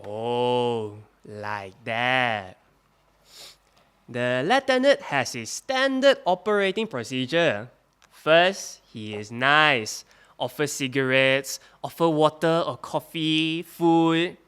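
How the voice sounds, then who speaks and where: An actor playing a male witness at a wartime trial, speaking in a halting testimony that climbs into agitated outbursts. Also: mid-range voice, big pitch swings, a bit bright, clean tone. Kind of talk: audio drama acting.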